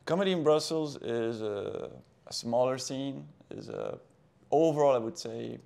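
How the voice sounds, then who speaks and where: Gravelly-voiced man, halting talk. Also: medium pitch, dark tone. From Belgium. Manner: halting, restarts often. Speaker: comedian guest. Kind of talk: casual interview. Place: indoor studio set with table. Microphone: lapel mic.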